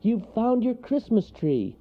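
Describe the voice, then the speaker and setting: A man's voice: high voice, animated, normal speed, gravelly, muffled recording, voice pushed up, heavily acted. A man voicing a small, anxious male woodland animal who speaks courteously and nervously in a children's story. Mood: eager.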